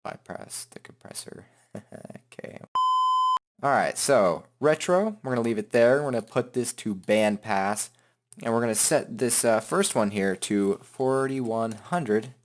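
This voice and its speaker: A man hosting a software tutorial in an informal, joking, self-deprecating way. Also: on the low side. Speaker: tutorial host. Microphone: headset microphone.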